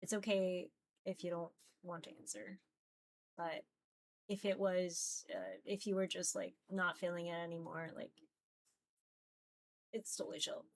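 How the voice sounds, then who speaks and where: A woman's voice: on the low side, little pitch movement, measured pace, pauses often. A woman chatting casually to viewers as a livestreamer, informal and rambling, with a giggly manner. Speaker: visual novel streamer.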